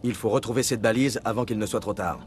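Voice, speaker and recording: An actor playing a stern, controlled military commander in a video game, terse and businesslike. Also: male voice, fairly low pitch, fast, soft background music, close to an everyday voice. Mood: stern, probing. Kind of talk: dubbed video game cutscene acting.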